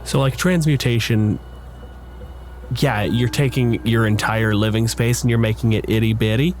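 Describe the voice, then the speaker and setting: A man's voice: medium pitch, fairly even intonation, moderate pace, darkish tone. A man working as game master, narrating a tabletop game in a casual, conversational, explanatory way.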